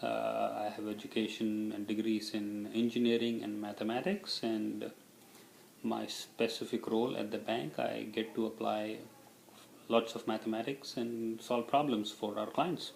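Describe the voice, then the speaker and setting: A man's voice: fairly low pitch, fairly even intonation, measured pace, creaky, roomy sound, a little hiss or hum. A middle-aged man from India, a native Tamil speaker with an Indian accent, answering interview questions in a casual, reflective way.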